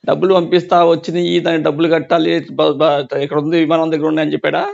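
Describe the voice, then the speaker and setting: Creaky, high-pitched Telugu man advising by phone. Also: level tone, fast talker, darkish tone. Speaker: phone adviser warning about fraud. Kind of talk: casual phone conversation, advising. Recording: dull lo-fi recorded phone call.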